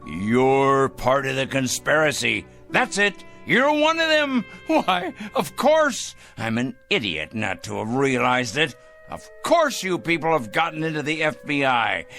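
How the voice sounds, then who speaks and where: A self-righteous, obsessive male crank in a radio drama. His bright, sharp voice leaps around in pitch, yet he draws his words out slowly. He sounds fanatical and paranoid, a theatrical ranter. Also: vocal fry, soft background music, full character voice. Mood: furious, accusing. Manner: ranting, excitable, muttering and crooning to a pet.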